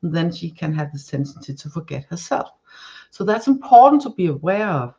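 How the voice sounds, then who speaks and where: A woman's voice: lowish voice, very animated, a little fast, mellow, husky, muffled recording. Woman reading tarot cards. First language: Danish. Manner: searching for words, many restarts and fillers. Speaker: tarot card reader. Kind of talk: live-stream tarot reading.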